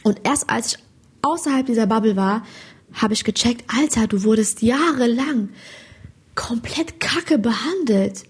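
A young woman from Hesse with a Hessian accent, a stand-up comedian chatting in an interview, animated and slangy, often asking "you know?". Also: a little high, unhurried, husky. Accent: Hessian German. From Hesse, Germany. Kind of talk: casual interview chat.

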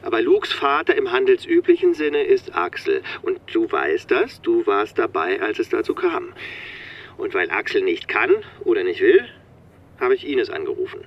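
A man's voice: lowish voice, big pitch swings, normal speed, dull audio. An actor playing a harried, pedantic middle manager and father on phone calls in a radio drama, officious and a bit hesitant. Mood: defensive, pedantic. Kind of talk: naturalistic German radio drama dialogue. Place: phone calls made while driving a car.

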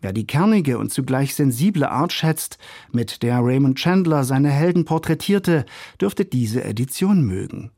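A male critic narrates a scripted radio review. His pitch is average and his delivery polished, with ornate wording. The studio sound is very dry. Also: animated, a little slow, darkish tone, no room sound.

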